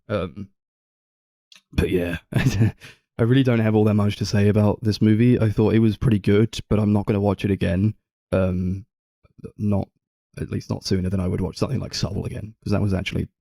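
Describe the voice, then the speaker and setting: Low, mellow young man chatting about films. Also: vocal fry, husky, no room sound, clean studio sound. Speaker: film podcast co-host. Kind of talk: casual film discussion.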